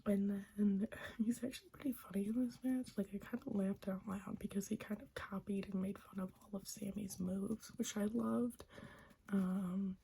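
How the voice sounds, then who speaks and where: A woman's voice: medium pitch, fairly even intonation, normal speed, creaky, slight background noise. A young woman whispering a chatty recap of a wrestling show, gushing like a fan and giggling often. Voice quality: soft whisper, frequent giggles.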